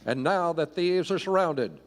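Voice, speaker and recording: A man's voice: fairly high pitch, expressive, unhurried, noisy background. A man giving dramatic scripted narration for a live Western radio play. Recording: echoey hall, live stage performance.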